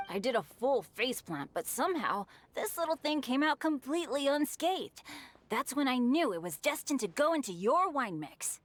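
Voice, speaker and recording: An upbeat, clumsy teenage boy from an anime game. He talks eagerly and good-naturedly, in a highish voice with lots of lively movement in pitch. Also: a little hiss or hum.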